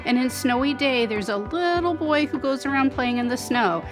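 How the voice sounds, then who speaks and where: A young woman reading a picture book aloud to children as host, expressive, with dramatic pauses and emphasis. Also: high-pitched, music in the background. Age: young adult.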